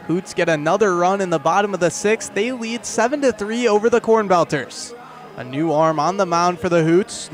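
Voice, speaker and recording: High-voiced young man calling baseball. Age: young adult. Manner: vivid descriptive calls that rise with the action. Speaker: baseball play-by-play announcer. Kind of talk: live radio sports commentary. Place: outdoors at a ballpark.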